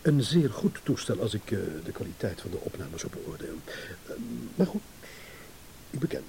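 Suave businessman, mellow, animated delivery. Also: lowish voice. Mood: evasive, brisk. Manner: smooth, ironic, measured with deliberate pauses. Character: suave, evasive businessman and ladies' man, athletic. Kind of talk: radio play acting. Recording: hissy old radio play recording.

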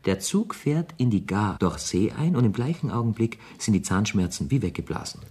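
A man's voice: on the low side, very animated, moderate pace, darkish tone, slightly rough. A man in a German radio play, playing a wealthy young bon vivant, urbane, flippant and mock-gallant. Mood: breezy, evasive. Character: suave, wealthy young man about town, playfully teasing.

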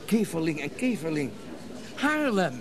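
Doting excitable rich collector husband, radio play, high lively voice, bustle behind him. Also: male voice, unhurried, a crowd in the background. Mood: genial, enthusiastic.

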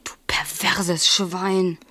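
A woman's voice: on the low side, little pitch movement, drawn-out words, sharp tone, exaggerated voice. An actress playing a wounded, indignant, reproachful woman in a radio drama. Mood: disgusted whisper.

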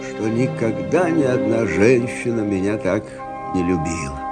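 Older army captain, kindly folksy storyteller, slow pace, animated intonation, radio drama. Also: male voice, fairly low pitch. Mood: wistful. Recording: radio play with faint music.

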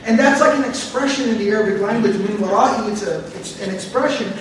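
A man with a very high voice gives a lecture on scripture. He explains each word carefully and repeats phrases for emphasis, often drifting into tangents. He stands some distance from the mic in a very echoey room, with faint background noise. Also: fairly quick. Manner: repetitive, explains word by word, digressive. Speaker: Quran teacher.

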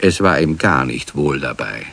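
A man narrating a children's radio play as a calm, wry storyteller in a scripted delivery. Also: very deep voice, normal speed, creaky, no room sound, exaggerated voice. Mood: wry, storytelling.

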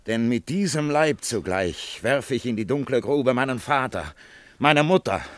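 An actor playing a poor, servile labourer hungry for gold, declaiming deferentially and intensely in a verse radio drama. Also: male voice, mid-range voice, lively intonation, unhurried, fairly bright tone, no room sound. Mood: eager, bitter.

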